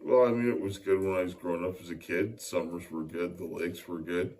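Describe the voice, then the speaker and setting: A man's voice: sits low, fairly even intonation, a little fast, darkish tone. A middle-aged man from Winnipeg, explaining technical work as an interview guest with many hesitant fillers. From Winnipeg, Canada. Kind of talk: interview answers. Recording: distant mic with faint ambient noise.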